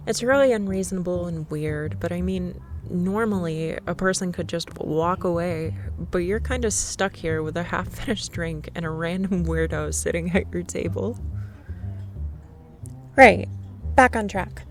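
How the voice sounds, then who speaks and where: A woman's voice: fairly low pitch, unhurried, soft background music, lightly acted. A woman playing a shy, nervous young woman stranger at a cafe in an ASMR roleplay, rambling and second-guessing herself. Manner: rambling, self-conscious, overthinking.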